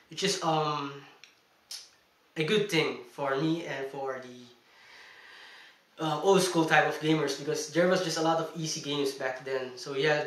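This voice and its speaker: A man's voice: a little high, fairly bright tone, far from the mic. A young man, a Tagalog speaker, chatting emphatically in a casual video game review and laughing between points, recorded indoors. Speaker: gaming vlogger. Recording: echoey room, mic set back.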